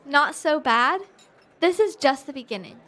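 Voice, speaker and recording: A young woman playing a sincere, gently teasing sweetheart in an audio drama, wry and reassuring. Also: high-pitched, lively intonation, a little slow, full character voice.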